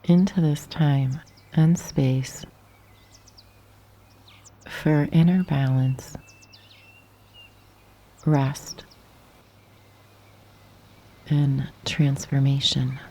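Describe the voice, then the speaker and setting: Breathy, low, slow-speaking woman guiding meditation. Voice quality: soft, breathy, half-whispered. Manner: gentle, calm instruction with long pauses. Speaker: meditation guide. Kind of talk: guided yoga nidra meditation. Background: birdsong and nature sounds.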